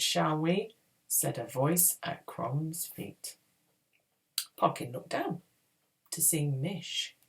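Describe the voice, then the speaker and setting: A woman reading a children's adventure book aloud in a dramatic, expressive storytelling style. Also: lowish voice. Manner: expressive, acts out dialogue. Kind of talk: dramatic read-aloud narration.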